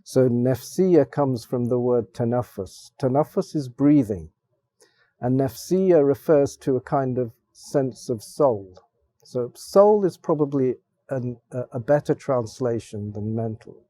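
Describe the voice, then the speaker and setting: A man's voice: medium pitch, lively intonation, measured pace, mellow, dry recording. A man on a discussion panel, explaining ideas thoughtfully with frequent restarts. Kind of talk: roundtable discussion. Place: theater stage panel.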